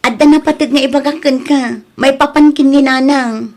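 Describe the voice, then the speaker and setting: An actress with an Ilocano Filipino accent playing a concerned, scolding adult daughter in an audio drama, earnest and admonishing. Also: female voice, high-pitched, steady tone, normal speed, a little mellow, natural voice. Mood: worried, reporting.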